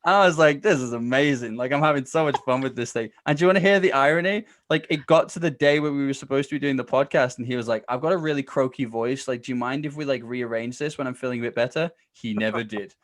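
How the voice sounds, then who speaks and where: A man with a mid-pitched voice talks as a podcast guest. He is chatty and informal, telling anecdotes and acting out what people said. The sound is dry, with little room echo. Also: quick pace, hardly any pauses. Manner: chatty, informal, acts out quoted exchanges. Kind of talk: casual storytelling.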